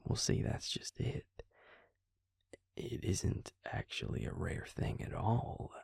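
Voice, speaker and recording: A man playing a patient, grave vampire in an intimate audio roleplay, speaking husky, breathy and low, with hesitant, deliberate pauses. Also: sing-song, a little mellow, no echo, clean studio sound, full character voice. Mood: gentle, explaining. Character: male vampire, patient, grave, quietly explaining lore.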